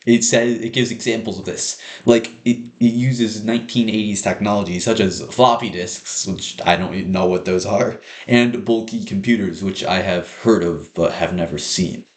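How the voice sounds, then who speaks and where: Young male student speaker, slightly low pitch, earnest persuasive delivery, echoing room sound. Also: fairly even intonation, moderate pace, hardly any pauses. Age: young adult. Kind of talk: prepared persuasive speech.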